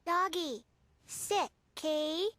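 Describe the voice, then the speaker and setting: A woman's voice: very high voice, drawn-out words, fairly bright tone, exaggerated voice. A woman voicing a plain-spoken, childlike little girl in a video game, with a cute way of talking. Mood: pleased. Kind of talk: English video game dub acting.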